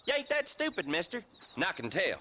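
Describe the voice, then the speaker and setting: An actor playing a cunning, jovial frontier land schemer in a western game, with a folksy, wheedling drawl. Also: male voice, high voice, wildly swooping pitch, a little fast, pushed and bright, slight background noise, full character voice. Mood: sly, amused. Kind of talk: dramatic video game acting.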